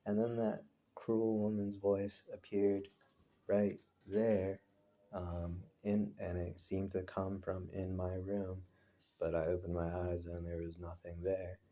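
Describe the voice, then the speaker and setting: A man recording a casual personal vlog, talking in a rambling, introspective, halting way. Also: low voice, monotone, warm tone. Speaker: vlogger.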